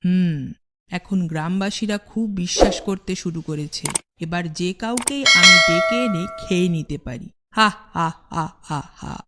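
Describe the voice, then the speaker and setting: A woman voicing a gloating, hungry, menacing witch with slow cackling laughter in a fairy-tale cartoon. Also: lowish voice, very noisy, natural voice. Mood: scheming glee. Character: gloating, hungry, man-eating witch of the forest.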